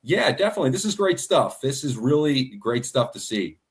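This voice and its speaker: A man's voice: medium pitch, big pitch swings, brisk. A man co-hosting a casual sports podcast, playful and full of hesitant restarts. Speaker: podcast co-host.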